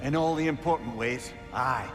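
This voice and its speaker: An actor playing a witty, loyal advisor in a video game, with a Scottish accent and a wordy, wry, teasing manner. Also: male voice, medium pitch, normal speed, over music, natural voice. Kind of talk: dramatic video game acting.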